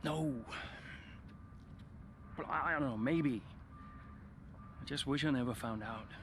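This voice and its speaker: A man acts out a brooding, resentful racing driver past his prime, for a video game cutscene. He grumbles in an understated, downbeat way at a middling pitch, over clearly audible background noise. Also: slow pace, lightly acted. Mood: aggrieved.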